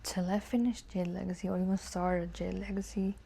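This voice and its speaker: Gravelly, relaxed woman chatting casually. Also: medium pitch, brisk. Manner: informal, musing aloud, hesitant. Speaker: book vlogger. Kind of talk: casual chat to camera, answering tag questions.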